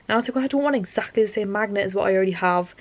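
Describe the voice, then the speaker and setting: A woman's voice: medium pitch, rapid-fire, dry recording. A young woman, tired and losing her voice, rambling chattily to camera at home at night. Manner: rambling, chatty, self-interrupting. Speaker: vlogger. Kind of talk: casual chatty monologue to camera.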